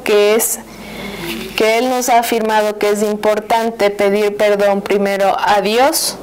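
A woman delivering prepared formal conclusions in a measured way at a panel. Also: medium pitch, low-quality recording. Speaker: panel speaker.

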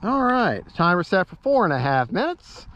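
A man's voice: high-pitched, sing-song, normal speed. A man giving casual, rambling, folksy running commentary as he flies a model plane outdoors, repeating himself as he goes. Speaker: RC plane pilot and vlogger. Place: outdoors at an open model airfield. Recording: muffled outdoor recording, loud wind noise.

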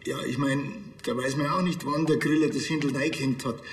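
A man's voice: medium pitch, steady tone, brisk, a little hiss or hum, lightly acted. An actor playing a wry, grumbling provincial policeman with a Bavarian accent in a TV comedy. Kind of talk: naturalistic TV comedy acting. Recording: echoey room, faint music.

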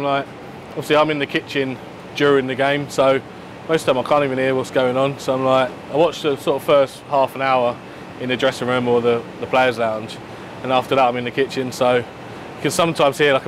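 A man's voice: mid-range voice, noisy background. A man, a chef explaining his work casually and matter-of-factly to camera. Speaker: head chef at a football club. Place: indoors, club kitchen and dressing room.

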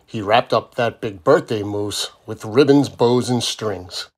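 A man's voice: on the low side, unhurried. Man reading a children's storybook aloud. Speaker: children's book reader. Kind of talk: expressive storybook read-aloud.

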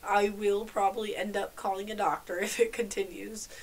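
A young woman with a stuffed-up, congested voice chats loosely on a home podcast. She talks freely and laughs often, sounding sick but relaxed. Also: medium pitch, moderate pace. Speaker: podcast co-host. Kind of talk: casual chat.

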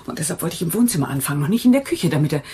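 An actress playing a chatty, dutiful housekeeper in a German radio crime drama, rambling and eager to explain. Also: female voice, sits low, big pitch swings, racing, dark tone, slight background noise. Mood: flustered, eager.

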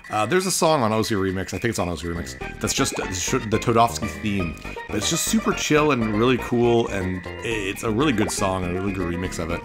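A man's voice: lowish voice, expressive, brisk. A man giving casual, chatty playthrough commentary on a video game in a playful way. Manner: chatty, playful, reads game text aloud in exaggerated tones. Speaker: gaming video host. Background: video game music.

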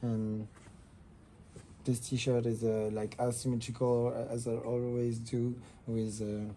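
A young man with a French accent speaks in a soft, mellow tone, giving a casual showroom walk-through. His English is hesitant yet enthusiastic, and faint room noise sits behind him. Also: mid-range voice, little pitch movement, slow pace. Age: young adult. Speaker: fashion designer presenting a collection.

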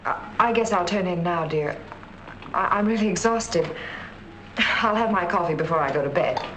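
An actress playing a young wife in a 1940s film, speaking softly and hesitantly. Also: female voice, fairly low pitch, normal speed, a little hiss or hum, lightly acted, low-quality recording. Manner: soft, hesitant.

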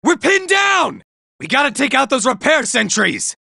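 A man voicing a nervous, boastful male robot soldier in a video game, shouting in panic and tossing out sarcastic asides. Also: very high-pitched, sing-song, a little slow, vocal fry, no room sound, studio quality, exaggerated voice. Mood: panicked.

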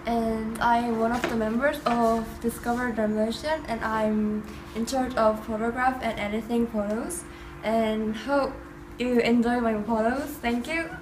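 A woman's voice: on the high side, steady tone, measured pace. A woman in her twenties from South Korea politely introducing herself indoors. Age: 20s. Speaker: team photographer. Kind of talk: casual self-introduction. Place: indoors by a doorway. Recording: echoey room, noticeable hiss.

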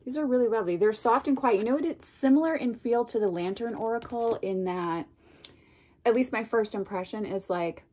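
A woman's voice: on the high side, fairly quick, slightly rough. A woman in her thirties with a stuffy, congested voice from a cold, chatting casually to camera as she unboxes a card deck at home, gushing and wandering into asides. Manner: gushing and chatty, drifting into asides and soft whispers. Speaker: tarot and oracle deck reviewer. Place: home room lined with bookshelves, indoors. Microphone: camera in front at desk distance.

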